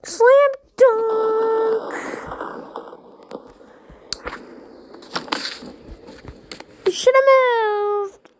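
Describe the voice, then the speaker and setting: A performer voicing excitable, bickering schoolkids at play in improvised dialogue, with casual, teasing slang and exclamations. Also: very high-pitched, drawn-out words, slight background noise, exaggerated voice. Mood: excited, frustrated. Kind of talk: playful improvised character dialogue.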